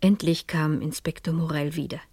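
A determined lady sleuth in a seventies radio crime play. Her voice is low and husky, and she speaks deliberately, with a secretive urgency and quiet authority. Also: steady tone. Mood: tense, reflective. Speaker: radio drama actress, narrator and lead. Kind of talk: 1970s German radio play acting, first-person narration and dialogue.

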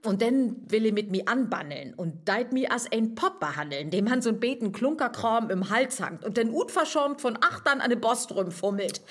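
An actress with a northern German accent playing a sceptical but soft-hearted young woman in a verse radio play, earthy and matter-of-fact. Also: a little high.

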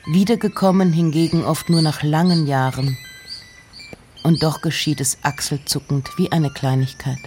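A woman giving a literary reading of poems and letters with measured, deliberate phrasing. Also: sits low, steady tone, darkish tone, soft background music.

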